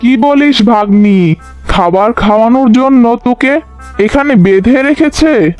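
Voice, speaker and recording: A curious, gullible tiger uncle from a children's cartoon, acted by a man. His voice is very high for a male and drawls slowly, with faint music behind. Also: animated. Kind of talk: children's cartoon character dialogue.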